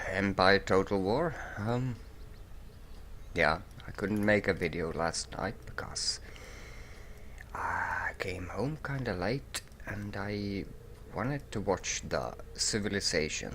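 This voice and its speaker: Man, deep voice, slow, groggy morning voice, rambling let's play commentary, home desk mic. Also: expressive, fairly bright tone, slight background noise. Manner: rambling, many ums and restarts. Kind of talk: casual game commentary.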